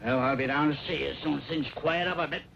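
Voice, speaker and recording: An actor playing a friendly, playful older man in an old western radio drama, with a creaky voice. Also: on the high side, quick pace. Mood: confiding. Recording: dull, noisy old radio recording.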